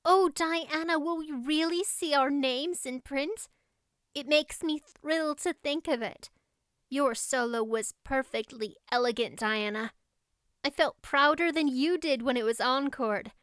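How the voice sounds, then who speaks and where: A woman's voice: high-pitched, a little slow, a bit bright, no room sound, studio quality, voice pushed up. A woman voicing a dreamy, dramatic thirteen-year-old girl in an audiobook, gushing and romantic. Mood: thrilled, proud. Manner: breathless, gushing, lofty at times. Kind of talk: audiobook character voice.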